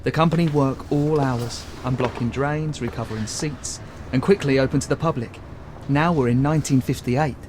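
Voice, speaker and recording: An actor playing a newsboy who cries headlines and narrates in a radio drama. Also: male voice, medium pitch, normal speed, noisy background, close to an everyday voice. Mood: confiding, wry. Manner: declaiming. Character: newsboy crier narrating a theatre company's history. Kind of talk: street news-crier narration in radio drama.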